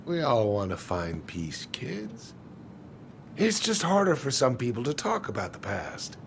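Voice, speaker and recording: An actor playing an evasive, folksy middle-aged small-town man in a naturalistic video game drama, with a creaky voice. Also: medium pitch, wildly swooping pitch, a little slow, slight background noise, heavily acted. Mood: conciliatory, solemn.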